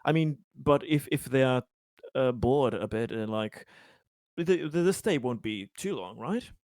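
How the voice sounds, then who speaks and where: A courteous colony councilman, played by a man in a tabletop roleplay, eager to please. He talks haltingly and politely in a mid-pitched voice, rambling and repeating words, recorded close and dry. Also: lively intonation, darkish tone, no room sound, lightly acted. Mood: apologetic, hesitant. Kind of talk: improvised in-character tabletop dialogue.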